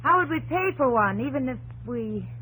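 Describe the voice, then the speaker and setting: An actress playing a fearful, worn-out young American pioneer wife, plaintive and emotional, in an old radio drama. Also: female voice, sits high, animated, moderate pace, a bit bright, husky, exaggerated voice, low-quality recording. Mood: despairing, weary. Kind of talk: 1950s radio drama acting.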